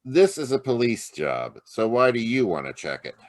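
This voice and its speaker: A man's voice: mid-range voice, very animated, normal speed. A man playing a short-tempered police lieutenant in an old-time radio detective drama, grumbling and blustering. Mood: gruff, businesslike. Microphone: video call.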